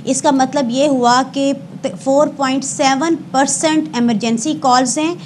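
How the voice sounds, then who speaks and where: High-pitched Pakistani woman, formal spokesperson. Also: fairly even intonation, a little slow, a little hiss or hum. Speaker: emergency service spokesperson, interview guest. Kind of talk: explanatory interview answers with statistics, Urdu mixed with English.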